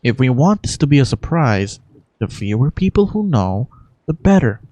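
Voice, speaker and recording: An amateur voicing a frank, confident female mercenary from a game, relaxed and assured. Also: mid-range voice, moderate pace, no room sound, voice pushed down.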